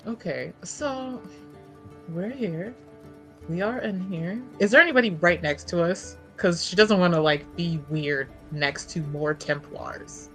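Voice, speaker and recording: A woman's voice: lowish voice, measured pace, faint music behind. A woman playing a tabletop roleplaying game, chatting informally and thinking aloud in broken phrases. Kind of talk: casual chat during actual play.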